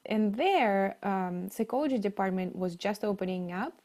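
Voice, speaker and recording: A woman's voice: mid-range voice, lively intonation, moderate pace, darkish tone. A woman from Siberia with a Russian accent, answering as an interview guest in a conversational style. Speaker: interview guest, marketing leader and coach.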